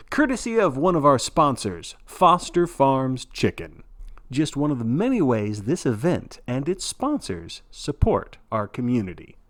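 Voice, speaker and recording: A man playing a jovial, showy sports broadcaster who calls grim events with blithe, folksy cheer in play-by-play style. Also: medium pitch. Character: jovial male sports broadcaster of a dystopian death march.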